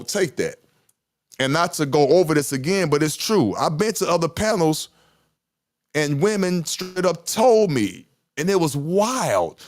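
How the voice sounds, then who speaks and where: A middle-aged man with a deep voice hosting a podcast, talking casually with passion and emphatic repetition. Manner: passionate, emphatic, repeats himself. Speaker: podcast host. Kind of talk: casual monologue.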